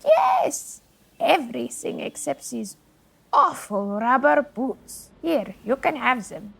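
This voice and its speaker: A woman playing a prim, indignant rooming-house landlady who scolds and hushes in a comedic radio-style audio drama. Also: high voice, sing-song, takes it slowly, gravelly, breathy edge, full character voice. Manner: fussy, scolding, hushing.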